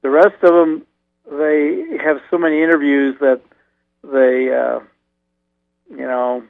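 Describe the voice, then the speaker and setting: A man calling in as a radio guest, talking about college football in a halting, low-key way with frequent restarts. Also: steady tone, creaky, no room sound. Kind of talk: casual radio interview over the phone. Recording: tinny phone line.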